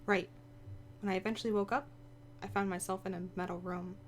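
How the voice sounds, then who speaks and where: A woman voicing a young, sharp-tongued woman telling of her past in a visual novel, informal and snappy. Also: medium pitch, fairly even intonation, fairly quick, a little hiss or hum, lightly acted. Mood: reflective. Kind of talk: visual novel voice-over read aloud.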